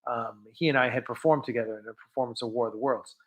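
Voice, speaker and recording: A man's voice: mid-range voice, fairly even intonation, fast, low-quality recording. A man hosting a fan livestream, chatting casually and answering viewer questions in an informal, rambling way. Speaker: host of a fan film livestream.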